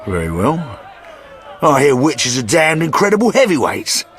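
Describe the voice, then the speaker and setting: A man's voice: on the high side, sing-song, normal speed, fairly bright tone. A man voicing a crude, boastful yet sentimental mercenary sergeant in a fantasy video game, with blustering theatrical delivery. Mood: gruff boasting.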